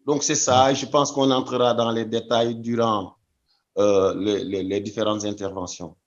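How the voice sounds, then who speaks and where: A man's voice: on the low side, level tone, measured pace, muffled recording. A man from Senegal answering interview questions thoughtfully, often restarting his phrases. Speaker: guest.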